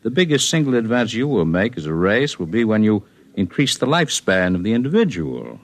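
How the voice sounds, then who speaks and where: A lofty alien healer. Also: male voice, on the low side, big pitch swings. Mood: calm. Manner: measured, lofty, precise. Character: calm superior alien healer man, scholarly. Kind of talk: 1950s radio drama acting.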